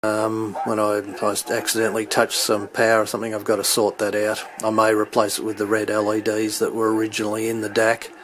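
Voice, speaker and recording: A man with a fairly low voice and very little movement in pitch. He talks like a hobbyist walking someone through his gear without a script. His delivery is halting and hesitant, as if working out each point while he speaks. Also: monotone, a bit bright, a little hiss or hum, rough recording. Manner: halting, hesitant, thinking aloud. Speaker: hobbyist demonstrating his radio equipment. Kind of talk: unscripted hands-on equipment walkthrough.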